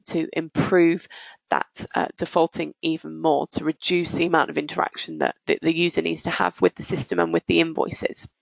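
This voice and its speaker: A woman presenting a software demo and answering questions as a webinar host, explaining things in business-like phrasing. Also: low voice, vocal fry, no echo, lo-fi sound.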